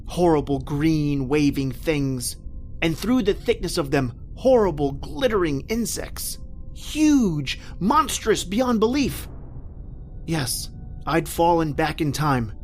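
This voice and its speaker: A man plays a distraught family man stranded in another age, in old-time radio horror style. His delivery is melodramatic and halting, repeating phrases with wide swings of pitch. Music runs behind the voice. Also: animated. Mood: haunted, grave. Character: distraught family man stranded in prehistoric past, disbelieving, desperate. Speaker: actor and first-person narrator in a horror radio play.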